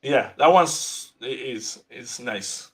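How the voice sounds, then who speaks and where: A man with an Argentinian accent chatting as a guest artist, halting and restarting as he searches for English words. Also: mid-range voice, a bit bright. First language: Spanish. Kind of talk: casual chat.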